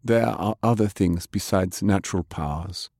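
A man voicing a polite, cool and wary male magic knight with a measured, formal manner in an audiobook. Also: low voice, a little mellow, husky, no room sound, studio quality. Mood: grave.

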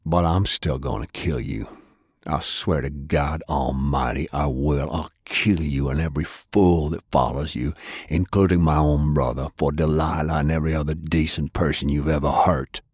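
A man's voice: steady tone, normal speed, a little mellow, slightly rough, dry recording, clean studio sound. A man doing a grim vengeful man. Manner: low, menacing.

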